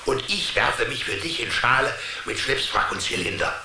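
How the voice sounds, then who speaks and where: A man's voice: medium pitch, animated, moderate pace, gravelly, sharp tone, exaggerated voice. A man acting an odd, chivalrous secret friend in a German radio play, with a playful, theatrical delivery. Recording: roomy, hissy sound.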